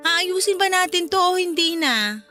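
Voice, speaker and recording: A woman's voice: very high voice, lively intonation, normal speed, pushed and bright, faint music behind, full character voice. A woman playing a jealous, insecure young wife in an emotional, argumentative radio drama scene. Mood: frustrated pleading. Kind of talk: radio drama dialogue acting.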